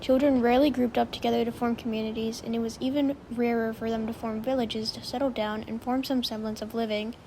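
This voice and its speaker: A woman's voice: a little high, level tone, fast, few pauses, warm tone, slight background noise, poor audio. A woman narrating a story from a script, as in an audiobook of fan fiction.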